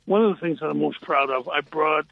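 Husky older man on a tinny phone line. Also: on the high side. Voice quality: loud, husky voice. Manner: halting, repeats phrases. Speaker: guest caller, retired prison librarian. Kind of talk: casual reminiscing in a radio interview.

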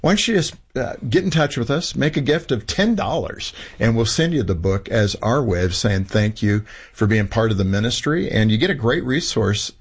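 A man's voice: mid-range voice, expressive, normal speed, hardly any pauses, husky. A man hosting a casual radio interview in an encouraging, affirming manner. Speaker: talk show host.

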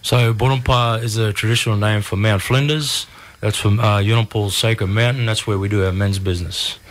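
A man's voice: on the low side, fairly even intonation, moderate pace. A middle-aged man with an Australian accent, talking casually and candidly in a plain, colloquial way as an interview guest. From Ipswich region, Australia. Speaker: community group founder, interview guest.

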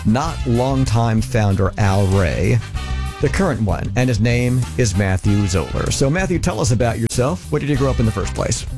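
A man hosting a faith-based radio interview program, introducing his guest and asking questions in a smooth announcer style. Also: medium pitch, animated, moderate pace, music in the background. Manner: smooth announcer delivery, brief scripted intros. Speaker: radio show host, interviewer.

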